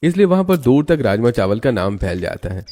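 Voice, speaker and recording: Guy narrating a cartoon story, mellow soft-edged voice, middle pitch. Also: big pitch swings, fairly quick.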